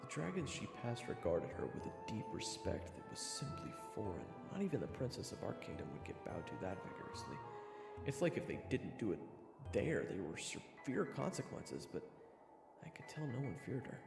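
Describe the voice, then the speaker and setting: A man's voice: fairly low pitch, very animated, normal speed, gravelly, loud music behind the voice. A man playing an earnest, candid human traveler in a fantasy audio roleplay, speaking casually and expressively. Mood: wondering narration. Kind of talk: audio roleplay acting with first-person narration.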